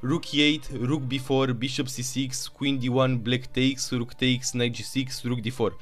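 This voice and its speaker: A man with a Romanian accent narrating chess games move by move, with excited asides. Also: medium pitch, measured pace, faint music behind. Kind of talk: chess game commentary.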